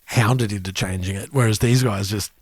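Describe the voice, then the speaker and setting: A man's voice: fairly low pitch, normal speed. A man with an Australian accent chatting casually and colloquially as a music podcast co-host. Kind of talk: casual chat.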